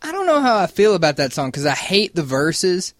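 A man's voice: sits high, big pitch swings, a little fast, no room sound. A man co-hosting a radio show, still getting over a cold, teasing with dry humor. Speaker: radio co-host. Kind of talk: casual radio banter.

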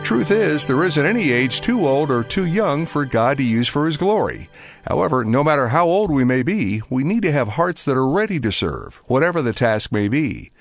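A man hosting a radio program, reading the closing segment and a book offer in an animated way. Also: fairly high pitch, moderate pace, faint music behind. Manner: animated, scripted delivery. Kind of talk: scripted program outro.

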